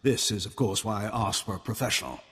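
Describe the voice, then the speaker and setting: A man's voice: lowish voice, quick pace, sharp tone, slightly rough, long reverb tail. A man playing a cold, haughty middle-aged imperial admiral in a video game, formal and condescending.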